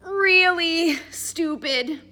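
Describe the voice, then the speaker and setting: A woman voicing a huge, slow-witted gangster with a blunt, heavy, menacing delivery. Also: fairly high pitch, expressive, creaky, pushed and bright, full character voice. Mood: threatening.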